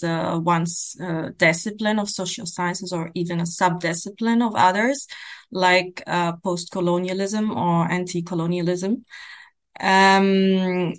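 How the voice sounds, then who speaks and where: A young woman from Egypt giving an academic explanation in a podcast, with frequent ums and uhs. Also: fairly low pitch. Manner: thoughtful, many ums and uhs. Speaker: podcast participant. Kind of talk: academic discussion.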